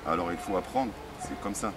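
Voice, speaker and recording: A middle-aged man from Algeria with an Algerian accent, a native Arabic speaker, answering interview questions haltingly with many fillers and restarts. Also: low voice. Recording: distant mic with street noise.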